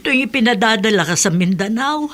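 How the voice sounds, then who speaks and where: An actress playing a gentle, concerned older mother of a soldier in a radio drama, with a husky, breathy voice. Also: fairly high pitch, lively intonation, fast talker. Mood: worried, accepting. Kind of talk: Tagalog radio drama acting.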